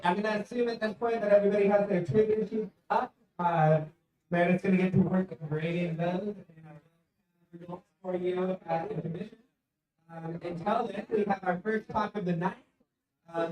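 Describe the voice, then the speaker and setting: A man's voice: high-pitched, a little mellow. A man hosting a live event, making casual announcements and introductions, heard from a distance in an echoey space. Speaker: event host. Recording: echoey room, distant from the mic.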